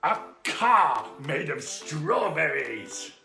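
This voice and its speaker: Puppet comedy role: fanciful, earnest, childlike fuzzy creature female performer, very lively pitch, echoey room sound with music. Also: very slow, exaggerated voice. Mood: dreamy, greedy. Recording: roomy echo.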